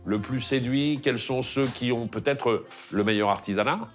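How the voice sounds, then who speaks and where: A man's voice: medium pitch, moderate pace, faint music behind. A male television presenter doing a chatty, playful on-location interview in a shop. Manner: chatty, playful, leading questions. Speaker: TV home-decor show presenter. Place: indoor decor shop.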